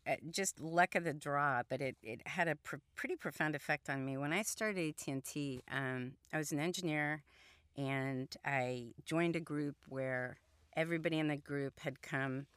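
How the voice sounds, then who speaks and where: Smooth-voiced middle-aged woman, dry studio. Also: sits low, normal speed, fairly bright tone, no echo. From Columbus, Ohio, United States. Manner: thoughtful, with frequent ums and restarts. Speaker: guest, engineer and entrepreneur. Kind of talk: radio interview.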